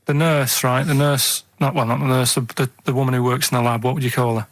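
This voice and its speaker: A man from Manchester, with the accent to match, rambles through an informal radio story. His pitch is middling. He hesitates and searches for words as he goes. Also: flat delivery, fast, no echo. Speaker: radio show contributor.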